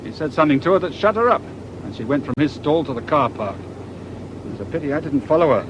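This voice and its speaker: Man acting a wry police inspector, lively British delivery. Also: fast talker, muffled recording, natural voice. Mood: assured, wry. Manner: dry, colloquial, knowing. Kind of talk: radio drama acting. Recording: noisy outdoor ambience, vehicle rumble.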